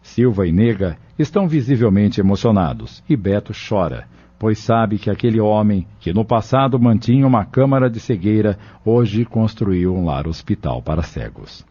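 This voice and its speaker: A man's voice: lowish voice, expressive, normal speed, hardly any pauses, dark tone, breathy edge. A man reading scripted radio drama narration in a formal announcer style. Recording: dull lo-fi radio, music behind.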